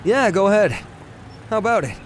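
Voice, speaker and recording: Young male royal, casual affectionate brother actor, audio drama, lighter pitch with lively swings. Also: a little fast, slight background noise. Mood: warm, casual. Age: young adult. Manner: casual, relaxed. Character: easygoing young prince, affectionate twin brother.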